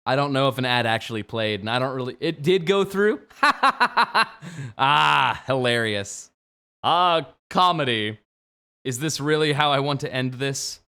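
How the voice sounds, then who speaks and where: Brief: man in his 20s, game streamer, joking late-night banter, very animated pitch, loud outbursts. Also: measured pace, smooth. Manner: playful, jokey, swings from mumbling to sudden shouts. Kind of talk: late-night livestream chat.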